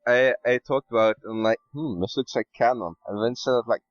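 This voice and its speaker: A man's voice: mid-range voice, normal speed, no echo. A man giving blunt, casual opinions as a podcast guest, over a dull voice-call sound.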